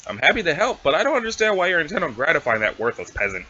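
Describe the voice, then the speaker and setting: Guy doing a stuffy weird alien noble, super formal and wordy, sharp voice, kinda lo-fi mic. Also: on the high side, big pitch swings, rapid-fire, slight background noise. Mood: curt. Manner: stilted, haughty, wordy diction. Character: stiff pompous formal alien aristocrat, sweaty and strange. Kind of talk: amateur comic dramatic reading.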